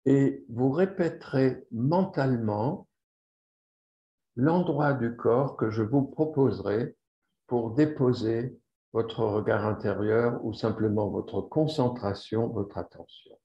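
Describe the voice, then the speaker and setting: A man leading a guided yoga relaxation as the instructor, speaking deliberately and repeating phrases for emphasis. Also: medium pitch, warm tone. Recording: roomy echo, slightly dull sound.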